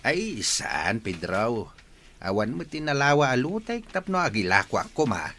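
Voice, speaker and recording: An actor with an Ilocano Filipino accent playing a humble, stubborn but polite older farmer in a radio drama. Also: male voice, medium pitch, very animated, normal speed, pushed and bright. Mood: apologetic, firm.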